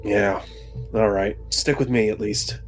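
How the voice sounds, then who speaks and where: A man's voice: lowish voice, steady tone, a little slow. A man playing a tabletop role-playing game, bantering casually with wry, dry jokes. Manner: wry, dryly joking. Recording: music underneath.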